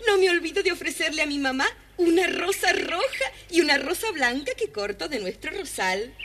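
Very high, bright, bubbly little girl. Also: lively intonation, normal speed. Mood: cheerful. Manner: bubbly, girlish, exclamatory. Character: lively, playful, excitable young girl. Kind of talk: dramatized children's storybook record. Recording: faint noise, old children's story record.

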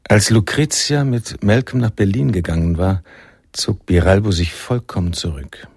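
An actor playing the reflective male narrator of a noir jazz radio play, with a deep, gravelly voice. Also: moderate pace, darkish tone, dry recording, studio quality. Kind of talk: scripted radio drama narration.

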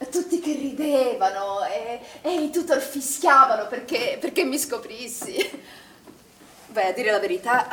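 An actress playing an anguished, doubt-ridden middle-aged woman in charge, speaking in an agitated, halting stage-drama style and repeating her phrases. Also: fairly high pitch, lightly acted. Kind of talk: stage drama acting, emotional monologue. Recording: roomy, distant theatre recording, faint noise.

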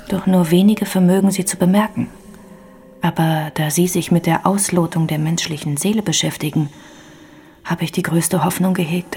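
Hushed, melancholy ghost woman, low-voiced. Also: vocal fry. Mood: grave. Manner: formal, archaic, solemn diction. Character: melancholy female ghost haunting a castle for centuries. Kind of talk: radio drama acting.

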